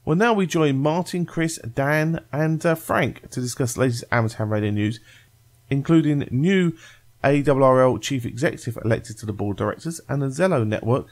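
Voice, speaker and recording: A man's voice: mid-range voice, moderate pace, darkish tone. A man reading a scripted introduction as a podcast presenter. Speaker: podcast host and news presenter.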